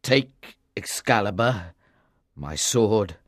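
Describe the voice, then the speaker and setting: A woman's voice: very deep voice, animated, slow, dry recording, clean studio sound, pitched below the performer's own voice, heavily acted. A woman voicing a wounded, dying king in a storybook, weary but commanding, with weak, labored speech. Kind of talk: storybook character voice.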